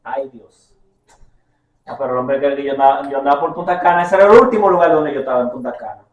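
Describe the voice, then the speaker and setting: A man's voice: mid-range voice, quick pace, reverberant, recorded from a distance, highs cut off. A man co-hosting a casual podcast, laughing and bantering with a friend over food talk. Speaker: podcast co-host.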